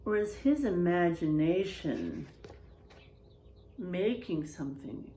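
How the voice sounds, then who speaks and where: Woman teacher reading aloud, slow and animated, mellow, echoey room. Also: on the low side, a little hiss or hum. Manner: slow, asks children questions. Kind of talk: children's storybook read-aloud.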